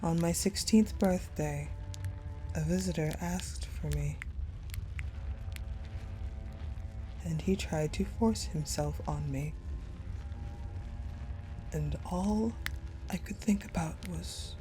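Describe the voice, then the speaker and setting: Woman playing a slow, mellow, haunted ice general. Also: sits low, soft background music. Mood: haunted, cold rage. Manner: slow, halting, breathy delivery that often drops into whispers. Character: wounded, vengeful ice-powered woman general recounting her tragic past. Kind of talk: dramatic audio roleplay monologue.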